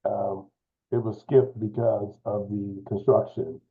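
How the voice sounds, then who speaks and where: Staff man by phone, low husky voice, tinny line, halting. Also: level tone. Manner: halting, many fillers. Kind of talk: meeting remarks.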